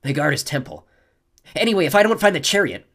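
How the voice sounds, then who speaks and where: Man playing a teen warrior girl, high-pitched, growling, defensive, husky. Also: lively intonation, quick pace, a bit bright. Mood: upset, defensive. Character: tough, angry teenage warrior girl. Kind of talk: audiobook character voice.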